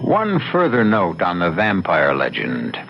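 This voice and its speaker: A man hosting an old-time radio mystery, narrating ominously with deliberate, theatrical pauses. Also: low-pitched, huge pitch swings, creaky. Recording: muffled old radio recording. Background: loud music.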